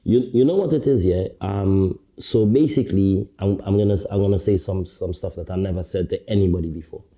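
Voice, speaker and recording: A man guesting on a podcast, telling his story in relaxed street slang with many "you get me"s. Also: deep voice, brisk, hardly any pauses. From Caribbean. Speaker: guest, artist turned talent manager. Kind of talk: casual podcast interview.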